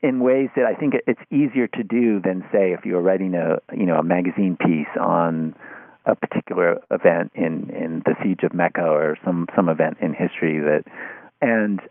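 A man speaking as an interview guest in analytical conversation, halting and circling back over his phrases. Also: sits low, dry recording. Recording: narrow phone-like line.

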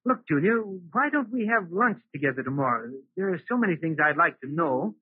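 A heartsick married man, old radio melodrama, pleading and earnest, kinda husky, tinny recording. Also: on the high side, very animated, fairly quick, dry recording. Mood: delighted. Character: lovesick, regretful, unhappily married man recounting his past. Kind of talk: 1940s radio drama acting.

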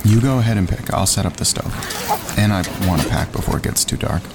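A man playing a steady, laconic wildlife photographer on a winter camping trip, understated and calm, in a naturalistic audio drama. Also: fairly low pitch, fast talker. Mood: calm, practical. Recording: clear outdoor ambience noise behind.

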